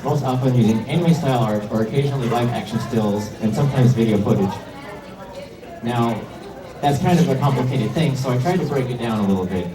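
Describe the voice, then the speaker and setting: A man giving an informal, slightly hesitant slide presentation to an audience as a panel speaker. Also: medium pitch. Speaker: convention panel presenter, indie game developer. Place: indoor convention panel room. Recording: echoey room, distant mic, heavy noise.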